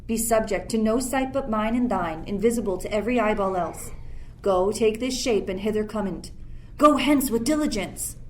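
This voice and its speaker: A woman's voice: medium pitch, normal speed, natural voice. A young woman playing an imperious sorcerer who rules an island, in theatrical Shakespearean verse for a radio play. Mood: commanding. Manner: commanding, theatrical. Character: Prospero, imperious, stern sorcerer ruling an island.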